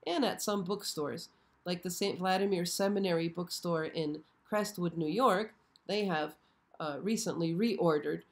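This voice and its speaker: A hoarse woman hosting a casual live stream, chatty and often checking in with her viewers. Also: on the low side, a little slow. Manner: chatty, frequently checks in with "okay?". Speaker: show host. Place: show set.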